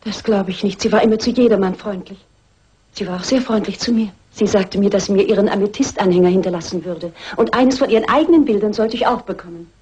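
A woman playing a shy, inhibited lady's companion in a radio crime play, husky, timid and primly defensive. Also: medium pitch, fast talker, a little mellow, natural voice. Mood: earnest.

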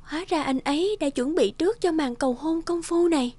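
A woman giving scripted storytelling narration for animated tales. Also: sits high, a little fast, dry recording.

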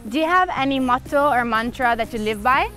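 A woman's voice: sits high, animated, a little fast, sharp tone. A woman interviewer putting short, simple questions to a guest. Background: music.

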